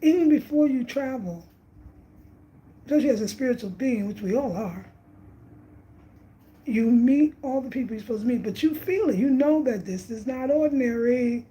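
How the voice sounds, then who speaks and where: A woman's voice: fairly high pitch, animated, normal speed, pauses often, dark tone, muffled recording. A woman in her fifties from New York, talking casually and reflectively about travel and spirit, repeating phrases for emphasis. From Brooklyn, New York. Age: 50s. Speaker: travel vlogger.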